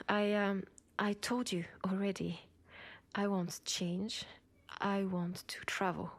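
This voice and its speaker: A woman with a French accent playing an ambitious, protective cyberpunk cop in an intimate sci-fi audio roleplay, with soft, breathy delivery. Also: mid-range voice, no room sound. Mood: vulnerable, pleading. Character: ambitious, protective female cyberpunk cop, senior partner to a rookie. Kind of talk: intimate sci-fi roleplay acting, one side of a dialogue.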